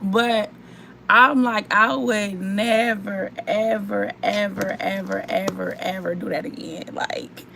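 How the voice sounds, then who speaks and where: A young woman vlogger with a hoarse, worn-out voice, chatting and rambling straight to her viewers. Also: mid-range voice, fairly even intonation, takes it slowly, noisy background. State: hoarse, voice mostly gone. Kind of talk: casual chat to viewers.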